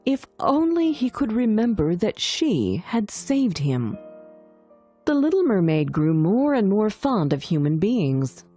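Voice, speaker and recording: Female storybook narrator: mellow, lowish voice, very expressive melody, music bed. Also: slow pace. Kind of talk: scripted children's fairy-tale storytelling.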